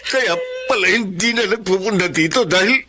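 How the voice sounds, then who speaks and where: A man's voice: sits high, normal speed, crowd noise, lightly acted. An actor playing an outraged, grieving middle-aged father with a Filipino accent in a melodramatic radio drama. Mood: vengeful rage. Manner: emotional, forceful. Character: outraged, grieving provincial father of abducted daughter.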